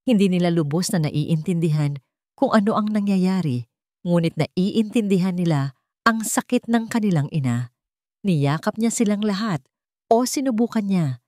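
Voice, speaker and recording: A woman with a Filipino accent narrates a scripted story in a measured, dramatic way, in short phrases. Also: lowish voice, animated, dark tone, dry recording, clean studio sound.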